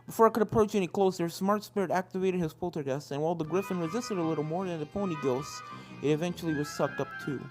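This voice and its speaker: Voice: mid-range voice, quick pace. A narrator reading fan fiction aloud as dramatic scripted story narration. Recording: game-style music behind the voice.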